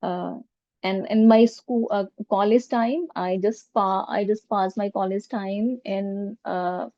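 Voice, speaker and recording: A woman's voice: mid-range voice, no echo. A woman with an Indian accent who stammers, answering interview questions with many fillers and repeated sounds. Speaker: guest, coaching centre founder. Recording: dull lo-fi audio.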